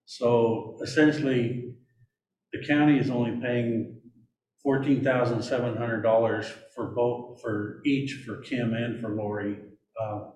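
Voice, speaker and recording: A man's voice: medium pitch, flat delivery, a little mellow, slight background noise. Hesitant man in an echoey meeting room. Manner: hesitant, measured. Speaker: meeting participant reporting on budgets. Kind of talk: public meeting discussion. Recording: roomy sound with faint ambience.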